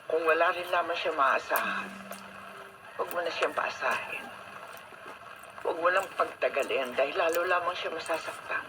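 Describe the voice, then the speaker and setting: A woman's voice: deep voice, normal speed, long pauses, distant mic, close to an everyday voice. An actress with a Filipino accent playing a frank, protective middle-aged mother in a radio drama. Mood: blunt. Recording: very noisy radio broadcast, off-mic.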